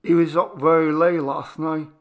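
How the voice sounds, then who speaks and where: A man voicing a middle-aged mother in a story reading, with a high, fluttering, placating voice. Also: level tone, moderate pace, natural voice. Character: anxious soothing mother, woman, middle-aged.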